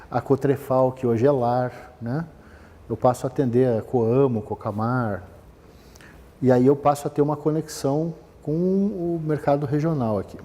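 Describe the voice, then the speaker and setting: Mellow-voiced man in a hall. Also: mid-range voice. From southern Brazil. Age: middle-aged. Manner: rambling, many fillers and restarts. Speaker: business manager giving a talk. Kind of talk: conversational talk, personal history.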